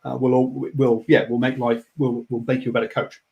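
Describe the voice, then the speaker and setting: A man's voice: medium pitch, flat delivery, brisk, darkish tone, highs cut off. Stammering male radio host. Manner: stammering restarts, thinking aloud. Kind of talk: radio interview.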